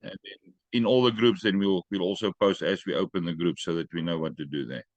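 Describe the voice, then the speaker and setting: A man with a fairly low voice leads a training session over a call. He gives firm, brisk instructions and drops in jokes along the way. The sound is dry and close. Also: dry recording. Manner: brisk, directive, joking asides.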